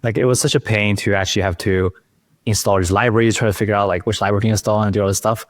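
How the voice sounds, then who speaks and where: A man's voice: on the low side, steady tone, racing, no echo, studio quality. A man hosting a podcast, speaking in an informal, conversational way. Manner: informal, peppers speech with "like". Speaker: podcast host. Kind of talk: casual interview chat.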